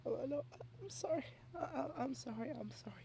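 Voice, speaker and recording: A woman speaking softly in a close-mic ASMR girlfriend roleplay, shy and rambling, with halting repeated starts and frequent half-whispers. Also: high-pitched, gravelly, noisy background. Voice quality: soft, often half-whispered.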